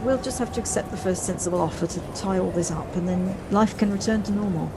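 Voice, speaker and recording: Brisk, wry married woman acted, noisy recording. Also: medium pitch, close to an everyday voice. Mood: brisk, dismissive. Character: brisk, fretful, well-spoken married woman. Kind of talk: audio play acting. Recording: noisy home recording on personal device.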